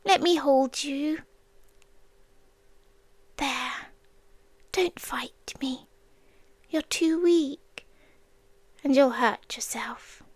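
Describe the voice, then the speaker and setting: A British woman playing a possessive, obsessive female demon in an ASMR roleplay, soft and breathy, often whispering, coaxing with tender menace. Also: high voice, dry recording. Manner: slow, coaxing, sweetly menacing with long pauses.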